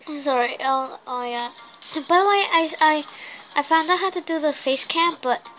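Hoarse young woman, hesitant game chatter. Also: high-pitched, moderate pace, fairly bright tone, a little hiss or hum, poor audio. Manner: hesitant, full of ums and uhs, apologetic. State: losing her voice, hoarse. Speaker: gameplay video host. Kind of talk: casual gameplay commentary.

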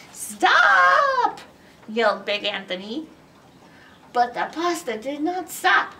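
A middle-aged woman reads a children's storybook with a very lively, swooping delivery. She takes her time and performs the story dramatically. There is faint noise in the recording. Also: high-pitched, recorded from a distance. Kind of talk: animated storybook read-aloud. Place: indoors in a library by bookshelves.

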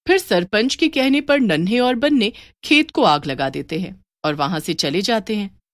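A woman's voice: mid-range voice, expressive, moderate pace, no echo, clean studio sound. A woman narrating a scripted story as its narrator.

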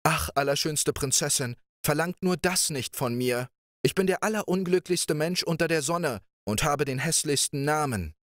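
A man's voice: fairly high pitch, lively intonation, moderate pace, fairly bright tone, no echo, clean studio sound, voice pushed up. A man voicing a gloomy, timid young man who speaks shyly and mournfully. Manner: shy, mournful, self-pitying.

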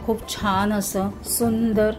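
Woman vlogger, casual tour narration, mid pitch, music bed clearly under her voice. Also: flat delivery, slow pace. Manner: enthusiastic, points things out to viewers. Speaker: travel vlogger. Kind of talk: casual narration touring a farm.

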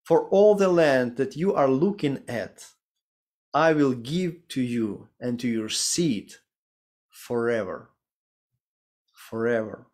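A man in his thirties with a Ukrainian accent, preaching a sermon and reading scripture slowly and deliberately over a video call from a home study. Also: mid-range voice, a little mellow. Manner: slow, deliberate reading with careful pauses. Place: home study with bookshelves, indoors. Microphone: video call webcam.

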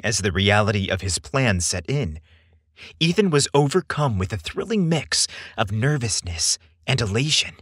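A man narrating a scripted story as a podcast storyteller, with measured, theatrical and deliberate phrasing. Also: lowish voice, sing-song, fairly bright tone, husky, dry recording, clean studio sound.

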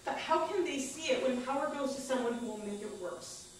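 A woman's voice: sits high, a little fast. A woman reading a personal monologue aloud on a small theater stage. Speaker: performer at a live stage reading. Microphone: distant camera microphone. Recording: echoey theater, far-off camera, faint noise.

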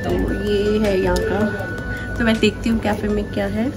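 A woman's voice: mid-range voice, fairly even intonation, normal speed, loud music behind the voice. A woman in her thirties chatting to a phone camera in a small cafe, eagerly praising her food. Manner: chatty, enthusiastic, repeats praise while tasting. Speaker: food vlogger. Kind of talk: casual food vlog to camera. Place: small indoor cafe by a window. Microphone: phone held at arm's length.

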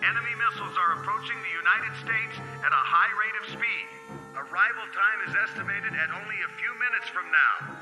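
An actor playing an emergency broadcast announcer who reads a red alert over the radio in a clipped, urgent, official manner. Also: male voice, high voice, a little fast. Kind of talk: radio drama acting. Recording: roomy radio broadcast with music.

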